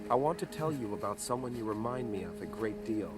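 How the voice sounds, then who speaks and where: An actor playing a serene, wise mystic teacher, speaking gently and solemnly to a young pupil. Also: male voice, fairly low pitch, animated, brisk, close to an everyday voice. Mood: tender, solemn. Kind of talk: screen drama acting. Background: loud music.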